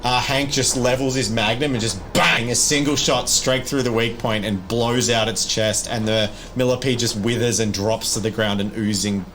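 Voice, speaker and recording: A man's voice: mid-range voice, steady tone, moderate pace, hardly any pauses, noisy background. A man acting as game master of a tabletop roleplay game, casually explaining rules and vividly narrating gory action.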